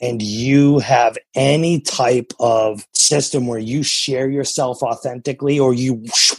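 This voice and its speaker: A man with an American accent and a gravelly, husky voice, captured close and dry. He talks like a coach being interviewed, earnest and emphatic. He often repeats a phrase to press a point home. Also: mid-range voice, dry recording. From New England, USA. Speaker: coach and entrepreneur, interview guest. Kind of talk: conversational interview, motivational.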